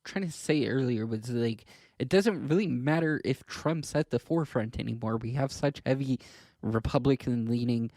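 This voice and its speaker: A man's voice: medium pitch, moderate pace, a little mellow, dry recording. A man from Canada co-hosting a podcast, talking politics casually in a hesitant way, stumbling over his words. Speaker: podcast co-host.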